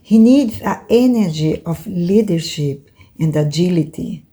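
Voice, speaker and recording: A woman from Brazil with a Brazilian Portuguese accent, teaching card reading in English as a second language, halting and full of fillers. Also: fairly low pitch, animated, dark tone. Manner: unhurried, halting, many fillers and tag questions. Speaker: tarot and oracle card teacher.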